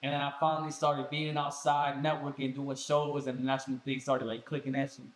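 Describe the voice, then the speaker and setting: A man's voice: medium pitch, moderate pace. Laid-back young man, echoey room. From Colombia. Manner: laid-back street slang, relaxed storytelling. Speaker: guest musician. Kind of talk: casual podcast interview.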